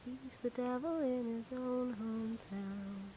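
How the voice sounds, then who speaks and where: Actress as whispering childlike patient, slow sing-song, hissy take. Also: female voice, a little high, fairly even intonation, mellow, pitched above the performer's own voice, rough recording. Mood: dreamy.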